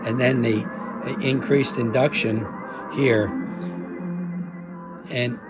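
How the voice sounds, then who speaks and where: A man giving an informal, unscripted demonstration as a hobbyist, explaining haltingly and hesitantly with trailing phrases. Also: medium pitch, steady tone, over loud music. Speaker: radio restoration hobbyist demonstrating a restored set.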